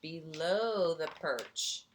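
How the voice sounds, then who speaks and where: A woman reads a children's story at an unhurried pace, with lots of rise and fall in her pitch. She speaks like a gentle teacher, stepping out of the text to point things out and put questions to the young listeners. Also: lively intonation, a little hiss or hum. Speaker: teacher reading a picture book to young children. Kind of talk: storybook read-aloud with chatty asides to children.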